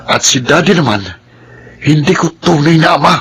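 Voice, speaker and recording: An actor playing a scheming, hot-tempered rich son in a radio drama, bossy and quick to get defensive. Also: male voice, a little high, fairly bright tone, a little hiss or hum. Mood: resentful, menacing. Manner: bossy, defensive, stammers when cornered.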